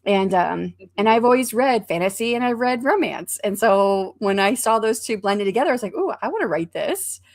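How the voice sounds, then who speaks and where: A woman hosting a casual interview over a video call, chatty and quick to laugh off her own stumbles. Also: medium pitch, lively intonation, no room sound, clean studio sound. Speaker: host.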